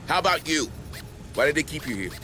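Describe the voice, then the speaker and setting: An actor playing a field marine in a sci-fi game, by turns soothing and excitable. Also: male voice, fairly high pitch, brisk, soft background music. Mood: reassuring. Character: field marine, calming to civilians, excitable in danger.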